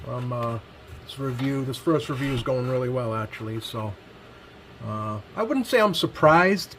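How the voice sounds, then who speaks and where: Man, mid-pitched voice, casual product reviewer, hesitant and unscripted, clear radio noise behind him. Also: a bit bright.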